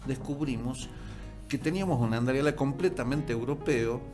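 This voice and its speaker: A middle-aged man with an Argentine Spanish accent, a writer and musician telling history in a relaxed chat, with hesitant restarts and animated bursts. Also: mid-range voice, normal speed. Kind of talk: conversational storytelling. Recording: music playing behind.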